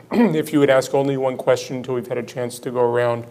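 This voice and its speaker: A man's voice: mid-range voice, steady tone, a little fast, warm tone. A man moderating a policy forum, polite and dry in tone, who sets the ground rules and poses questions with some hesitation. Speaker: moderator, program director.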